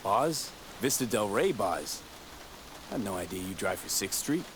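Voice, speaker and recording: A deep, dry-spoken mercenary. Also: male voice, very animated, moderate pace, noisy background. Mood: curious. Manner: dry, terse questions. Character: laconic mercenary man, dry and probing. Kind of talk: video game cutscene acting.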